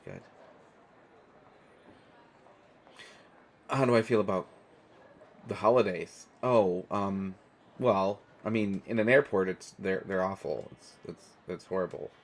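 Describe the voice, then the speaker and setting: An American man playing an awkward, kindly stranger in an intimate, casual audio roleplay, talking in a halting way with trailing pauses. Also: deep voice. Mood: wry, grumbling. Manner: hesitant, halting, with trailing pauses and self-corrections. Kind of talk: intimate one-sided audio roleplay, casual conversational acting.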